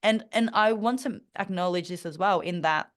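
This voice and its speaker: Australian woman, young adult, interview guest; lower register, sincere and reflective, restarts often. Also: moderate pace, fairly bright tone. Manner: earnest, thoughtful, many fillers and restarts. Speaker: podcast guest. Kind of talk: conversational interview.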